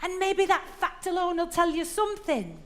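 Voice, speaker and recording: A kindly, reassuring matron in a stage musical. Her voice is high and swings up and down with lots of animation. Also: very animated, moderate pace, a bit bright, heavily acted. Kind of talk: stage drama dialogue.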